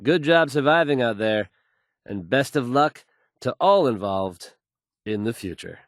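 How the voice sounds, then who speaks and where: An actor playing a self-assured, smooth male radio DJ in a game, delivering news with polished, wry showmanship. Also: medium pitch, animated, measured pace, dry recording, clean studio sound. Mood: breezy, wry. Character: confident, suave, upbeat male radio DJ.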